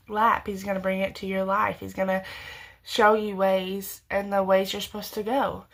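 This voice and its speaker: A woman's voice: medium pitch, fairly bright tone. A young woman, about twenty, giving earnest, rambling faith advice straight to viewers, with frequent restarts and self-corrections. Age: young adult. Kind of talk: casual faith talk to camera.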